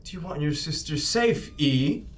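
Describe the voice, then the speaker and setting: Man playing a slick, menacing company agent, animated. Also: fairly high pitch, measured pace, soft background music. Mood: threatening. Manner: oily, cajoling, drops to whispered threats. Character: slick, menacing male corporate agent, bribing and threatening crew. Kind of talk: improvised tabletop roleplay acting.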